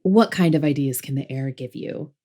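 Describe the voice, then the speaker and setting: A woman playing a brisk, dismissive, devout middle-aged mother in a full-cast science fiction audiobook. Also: rumbling low, mellow. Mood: disapproving. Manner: brisk, fussy, disapproving.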